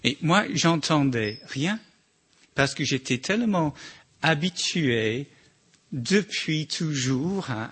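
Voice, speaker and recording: A man with a slight accent giving a halting, informal sermon reflection with many restarts. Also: mid-range voice, fairly bright tone, breathy edge. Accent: slight foreign accent in French. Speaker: church member sharing a reflection.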